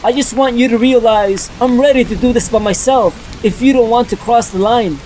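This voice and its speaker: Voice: medium pitch, a little fast, darkish tone, slight background noise, lightly acted, rough recording. A performer voicing a blunt, candid young woman who is a reformed cat burglar, in a fan dub of a motion comic. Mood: sincere.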